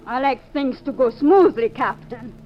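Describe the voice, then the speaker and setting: An actress playing a cold, imperious smuggling boss in a 1950s radio drama, curt and commanding. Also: female voice, sits high, expressive, a little slow. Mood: cold, menacing. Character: cold, imperious female smuggling boss posing as fortune teller. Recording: dull 1950s radio recording.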